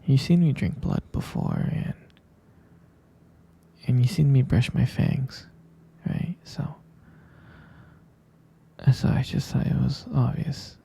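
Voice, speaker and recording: Guy doing asmr sleepy boyfriend roleplay, super breathy whisper, dark soft tone, halting and soothing. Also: medium pitch. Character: tender, reassuring half-vampire boyfriend soothing his partner to sleep. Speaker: ASMR performer.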